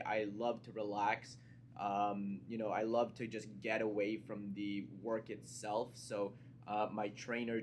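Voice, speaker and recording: A man hosting a casual interview, chatty and rambling, laughing easily as he asks questions. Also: fairly low pitch. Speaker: host, interviewer. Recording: faint background noise.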